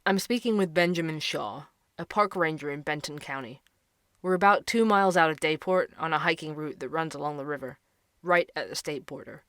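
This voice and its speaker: A man's voice: fairly high pitch, brisk, a bit bright, dry recording, clean studio sound, lightly acted. An actor playing a curious male investigative podcaster, who narrates and probes with questions. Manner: inquisitive, probing.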